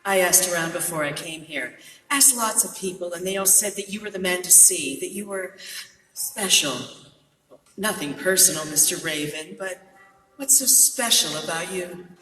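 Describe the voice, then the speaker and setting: A woman's voice: lowish voice, normal speed, sharp tone, faint music behind. A woman playing a sultry, anxious nightclub singer in a noir radio drama, dramatic and pleading in manner. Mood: coy, grateful. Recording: echoey hall, distant mic.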